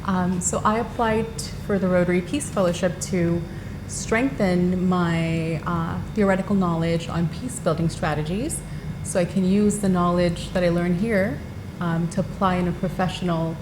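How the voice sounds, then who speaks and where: An eager woman from Los Angeles, slightly low in pitch, answers briefly. Her voice rings in an echoey room, with clear background noise. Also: fairly even intonation, moderate pace. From Los Angeles, California. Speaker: peace fellow. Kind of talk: interview answer.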